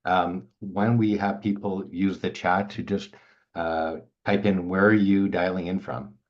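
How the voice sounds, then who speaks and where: A man's voice: low voice, fairly even intonation, a little slow, a little hiss or hum. An older man from Montreal co-hosting a webinar over a video call, chatting loosely and greeting people by name. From Montreal, Canada. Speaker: organization founder and webinar co-host. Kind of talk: casual webinar chat. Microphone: video call laptop mic. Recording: dull, lo-fi video call audio.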